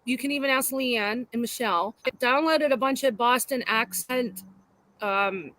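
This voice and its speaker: A high-pitched woman co-hosting a relaxed livestream, playful and chatty. She jokes around, greets viewers by name and banters with her co-host. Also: measured pace. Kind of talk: casual livestream chat.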